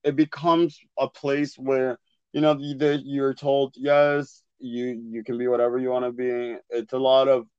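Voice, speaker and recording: A man's voice: mid-range voice, flat delivery, unhurried, darkish tone, smooth, no room sound, muffled recording. A young man talking reflectively in casual conversation, searching for words with many "like" fillers. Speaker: podcast co-host.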